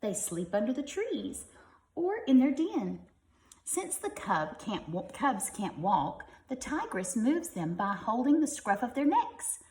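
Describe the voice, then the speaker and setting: A woman's voice: fairly high pitch, big pitch swings, measured pace, darkish tone, reverberant. A woman reading a picture book aloud to young children like a teacher, expressively and with simple explanatory asides.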